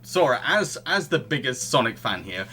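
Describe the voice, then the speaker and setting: A man's voice: medium pitch, big pitch swings, normal speed, sharp tone, husky. A man hosting a casual film podcast, often repeating phrases as he goes. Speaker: podcast host.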